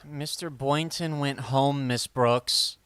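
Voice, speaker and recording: A woman voices an earnest teenage schoolboy in a 1940s-style radio comedy. The voice is low and boyish, slow in pace and recorded very dry. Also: fairly even intonation, a bit bright, no room sound, full character voice.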